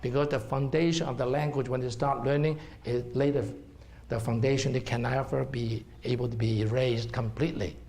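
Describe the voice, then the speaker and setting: A man's voice: medium pitch, fairly even intonation, fairly quick, darkish tone. A middle-aged man with a Hong Kong accent, a herbal doctor giving a seminar talk and explaining to the audience, checking in with "okay?". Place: seminar room.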